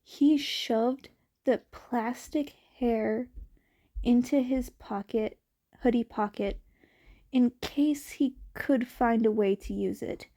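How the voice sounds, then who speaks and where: A woman reading a fanfiction story aloud in an unhurried, even storytelling manner. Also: a little high. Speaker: fanfiction reader.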